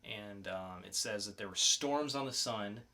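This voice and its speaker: A man's voice: fairly low pitch, measured pace, sharp tone. A man co-hosting a podcast, talking informally in a casual review chat. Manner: informal, conversational. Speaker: podcast co-host reviewing comics.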